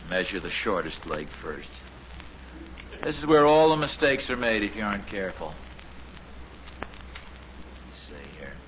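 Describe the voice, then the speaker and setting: A man's voice: on the low side, very animated, unhurried, pauses often. A man playing a boastful, fumbling middle-aged suburban dad and amateur handyman in 1950s American radio sitcom style. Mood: patient, muddled. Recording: noisy, muffled 1950s radio recording.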